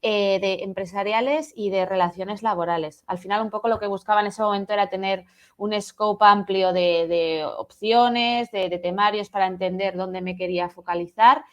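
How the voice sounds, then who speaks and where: A woman's voice: mid-range voice, steady tone, moderate pace, highs cut off. A woman in her thirties from Barcelona, a recruiter introducing herself fluently on an online panel and mixing in English terms. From Spain, Barcelona. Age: 30s. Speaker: talent acquisition manager, guest.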